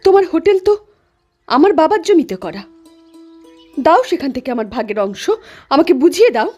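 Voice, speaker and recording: A woman's voice: high voice, sing-song, moderate pace, dark tone, soft background music. A woman voicing a desperate, headstrong younger sister in a cartoon with earnest, dramatic delivery. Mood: hurt, indignant.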